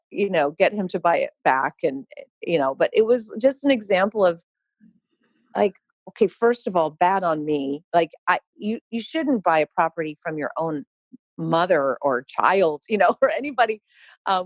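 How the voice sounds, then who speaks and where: A woman, a real estate company founder, telling a story as a podcast guest in an emphatic, animated way, laughing often and adding quick asides. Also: fairly low pitch, dry recording. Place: podcast interview.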